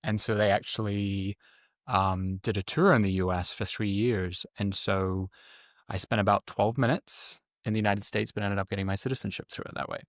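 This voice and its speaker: A middle-aged man from the UK answering interview questions conversationally, explaining things with frequent pauses. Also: lowish voice, steady tone, no echo, studio quality. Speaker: guest, former Army Ranger medic.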